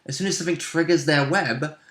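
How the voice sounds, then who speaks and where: A man with a bright, fairly high voice takes part in a tabletop game. He banters casually in a jokey manner. The sound is roomy and echoing. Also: fairly quick. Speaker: tabletop roleplay player.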